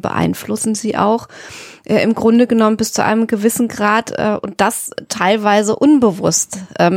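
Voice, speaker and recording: A woman co-hosting a podcast, explaining in a measured way with careful qualifications. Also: mid-range voice, vocal fry, a little mellow, dry recording, studio quality. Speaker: podcast co-host.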